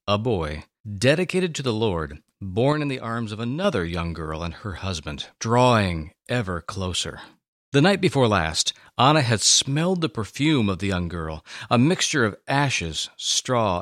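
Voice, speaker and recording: A man narrating a scripted dramatic story with deliberate phrasing and dramatic pauses. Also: on the low side, fairly bright tone, dry recording, clean studio sound.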